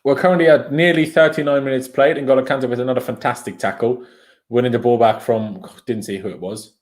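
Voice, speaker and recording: A man's voice: medium pitch, fairly even intonation, a little fast. Chatty Austrian man streaming a match. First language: German. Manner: chatty, opinionated, reads viewer comments aloud. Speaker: fan watchalong streamer. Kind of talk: casual live match commentary and chat reading.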